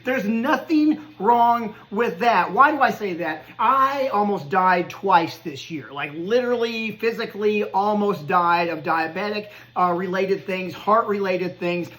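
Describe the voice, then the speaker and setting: A man's voice: sits high, unhurried, few pauses, a bit bright, low-quality recording. A man in his fifties hosting a podcast, giving an earnest, conversational motivational talk and laughing at himself now and then. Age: 50s. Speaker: podcast host. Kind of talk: motivational monologue.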